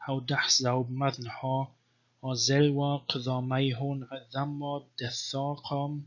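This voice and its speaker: A man giving an informal, scholarly language lecture in a deliberate, measured manner, as a teacher explaining and reading aloud. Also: medium pitch, fairly bright tone. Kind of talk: informal explanatory lecture with slow read-aloud text samples. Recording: dull, lo-fi microphone sound.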